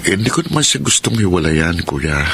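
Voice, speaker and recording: Low-voiced, wounded Filipino husband. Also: male voice, big pitch swings, a little fast, vocal fry, fairly bright tone, a little hiss or hum, full character voice. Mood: subdued, resolved. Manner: earnest, dramatic. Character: wounded, proud young husband, bitter yet forgiving. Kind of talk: Filipino radio drama acting. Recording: prominent music under the voice.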